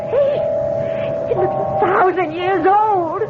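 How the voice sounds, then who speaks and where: A haunted wife in a vintage radio mystery, very high in pitch and slow to get her words out. She speaks with theatrical dread, on a dull old broadcast recording. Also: over loud music. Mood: terrified, hysterical. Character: frightened, haunted wife tormented by ghostly visions. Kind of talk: 1970s radio mystery acting. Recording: dull 1970s radio recording, faint noise.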